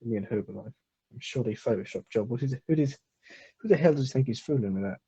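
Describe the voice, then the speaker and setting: Bloke, crude mocking slide show, husky mellow voice. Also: male voice, mid-range voice, fast talker. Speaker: comedy presenter. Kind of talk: joking slide presentation. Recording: dull lo-fi recording.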